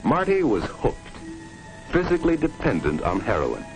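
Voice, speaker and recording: A man's voice: medium pitch, huge pitch swings, normal speed, over music, low-quality recording. A man reading a scripted 1950s educational film narration in a grave, dramatic manner on a vintage soundtrack. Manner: grave, stark, dramatic delivery.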